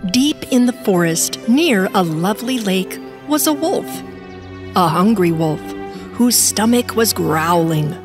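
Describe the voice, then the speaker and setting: A woman narrating a children's bedtime story in an expressive storytelling manner. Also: medium pitch, unhurried. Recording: music bed behind.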